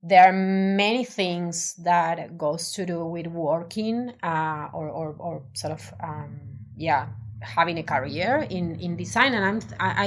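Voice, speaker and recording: Spanish-accented woman, low-pitched, hesitant. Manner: hesitant, many restarts and fillers, thoughtful. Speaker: design professional, podcast guest. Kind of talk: casual interview conversation.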